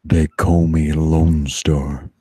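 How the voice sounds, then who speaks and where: A man playing a stern, unflappable vigilante hero in an amateur comic-book dramatization, delivered in a slow deadpan drawl. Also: very deep voice, husky, dry recording, clean studio sound, full character voice. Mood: deadpan, lecturing.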